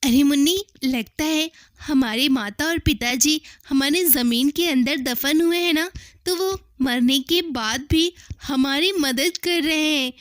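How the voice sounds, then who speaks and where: A woman plays a caring elder sister, still a young girl, in a cartoon tale. Her voice is high and girlish, recorded dry, with an earnest, imploring manner. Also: slow pace, dry recording. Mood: reassuring. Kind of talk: Hindi cartoon story dialogue.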